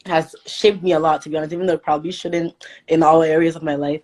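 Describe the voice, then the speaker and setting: Low-voiced young-sounding Ghanaian-born woman, chatty. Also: level tone, quick pace, a little mellow. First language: Twi. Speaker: interview guest. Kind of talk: casual chat.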